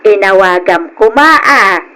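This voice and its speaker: Concerned mother, mid-pitched radio drama voice. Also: animated, slow pace, bright, forceful tone, clean tone. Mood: questioning, concerned. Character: concerned, advising mother of a grown son. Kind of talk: Ilocano radio drama acting.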